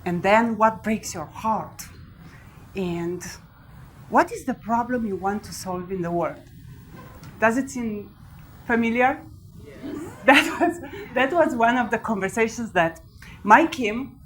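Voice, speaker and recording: A woman's voice: on the high side, a little hiss or hum, poor audio. Laughing Romanian-accented woman speaker on stage. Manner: animated storytelling, laughs often. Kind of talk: live talk to an audience. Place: large event hall with an audience.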